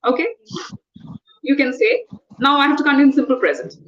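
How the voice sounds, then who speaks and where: Woman, trainer, high voice, patient corrective teacher, muffled lo-fi sound. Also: quick pace. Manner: patient, corrective, spells words out letter by letter. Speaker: English trainer. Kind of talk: one-on-one English lesson.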